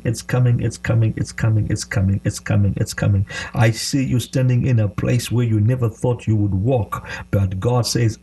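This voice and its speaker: A man's voice: mid-range voice, vocal fry, mellow, breathy edge. A man preaching a prophetic charismatic sermon, emphatic and exhorting, repeating phrases for effect and calling on listeners to respond. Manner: exhorting, declaratory, calls for responses.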